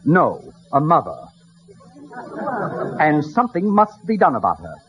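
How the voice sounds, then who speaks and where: Flustered, secretive gentleman, animated pitch. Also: unhurried, highs cut off, crowd noise. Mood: anxious, earnest. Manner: hesitant, formal, fussy. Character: flustered, secretive, earnest son with grievance. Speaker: actor. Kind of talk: 1940s-50s radio comedy acting. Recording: noisy old radio broadcast.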